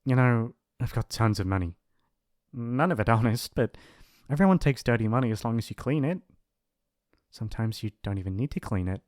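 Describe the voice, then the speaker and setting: A man's voice: medium pitch, expressive, brisk, a little mellow, dry recording, studio quality, natural voice. A man playing a possessive, lovestruck and shy mafia boss's son in an intimate, earnest romantic ASMR roleplay. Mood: eager, doting.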